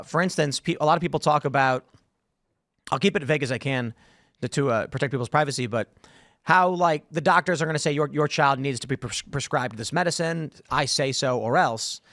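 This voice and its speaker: A man's voice: medium pitch, fairly bright tone, dry recording, clean studio sound. A man hosting a casual political panel show, opinionated and prone to acting out imagined exchanges. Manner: opinionated, acts out imagined dialogue. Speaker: podcast host.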